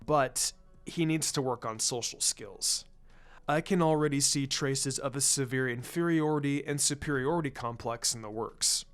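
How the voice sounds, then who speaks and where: Man playing a candid, low-key ninja teacher. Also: moderate pace, faint music behind, lightly acted. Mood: concerned. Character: laid-back, candid male ninja teacher reporting on students. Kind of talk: storybook character voice.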